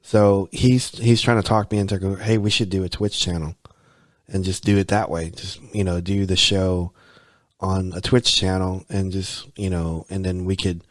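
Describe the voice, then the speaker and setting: A man's voice: low voice, level tone, moderate pace, dry recording, studio quality. An American man hosting a podcast, talking casually and rambling, often repeating himself and saying "you know". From United States. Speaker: podcast host. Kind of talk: casual conversation.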